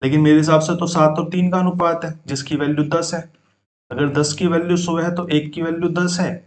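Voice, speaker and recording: A man's voice: on the high side, fairly even intonation, fairly quick. A young man teaching an exam-prep math lesson, talking students through each step and calling them brother.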